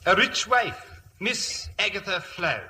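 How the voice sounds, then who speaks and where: High-voiced, suave earl. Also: male voice, very animated, slow pace, sharp tone, full character voice. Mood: cheerful. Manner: affected upper-class phrasing, theatrical. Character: suave British fortune-hunting earl, cheerful schemer. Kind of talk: 1940s-1950s radio comedy acting. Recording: old radio broadcast with background hiss.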